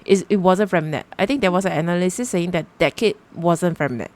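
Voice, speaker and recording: A woman's voice: fairly low pitch, quick pace, a little mellow. A woman livestreamer giving casual commentary on a game, often repeating and rephrasing her thoughts.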